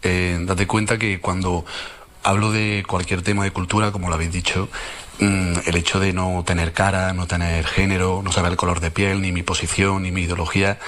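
A man's voice: low voice, a bit bright. A man with a southern Spain accent, answering conversationally as a guest in an interview. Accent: southern Spain Spanish. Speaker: interview guest, cultural social media creator.

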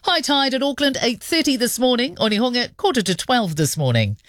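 A woman's voice: on the high side, animated, a little fast, a bit bright, dry recording, studio quality. Lilting New radio newsreader woman. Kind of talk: radio news and weather read.

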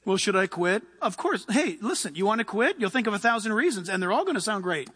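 A middle-aged male preacher with a very high-pitched, forceful voice. He delivers a sermon conversationally but emphatically, dropping into asides and rising to exhort. His words ring with long reverb in a large hall. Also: big pitch swings, fast talker, husky.

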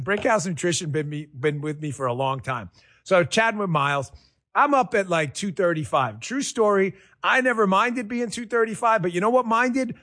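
A man's voice: high-pitched, a bit bright. A man giving a casual, chatty endorsement, telling it like a story.

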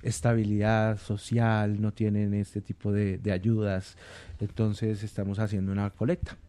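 A Colombian man playing a polite, hesitant street fundraiser in a radio comedy sketch, halting and apologetic. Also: on the low side, level tone, warm tone, clean tone, pitched below the performer's own voice, natural voice. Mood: hesitant, sheepish.

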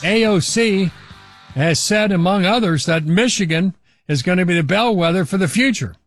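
An older man hosting a talk radio show, giving opinionated commentary in a halting way with restarts. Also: high-pitched, expressive, soft background music. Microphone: studio microphone.

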